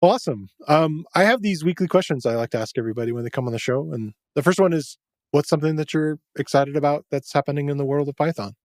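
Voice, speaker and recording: A man's voice: a little high, fast, no echo. A man hosting a podcast, upbeat and affirming as he asks his guest open questions. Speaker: podcast host. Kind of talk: casual interview chat.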